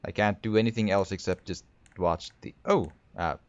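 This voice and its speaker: A man with a Finnish accent, talking through a horror game while he plays. His voice is very low, and he often trips and restarts his words as he thinks aloud. The recording is dull and dry, without room echo. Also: normal speed. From Finland. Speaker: gaming video creator. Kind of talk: horror game let's play commentary.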